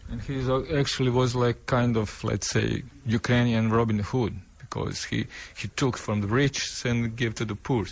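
A man's voice: medium pitch, steady tone, moderate pace, vocal fry, hardly any pauses. A man interviewing conversationally in non-native English, phrasing his remarks tentatively.

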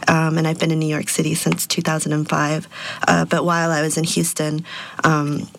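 A woman's voice: low voice, monotone, moderate pace, vocal fry. A woman in her thirties, an artist born in Peru and raised in Texas, answering interview questions in a reflective way with many fillers. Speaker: sound artist and DJ.

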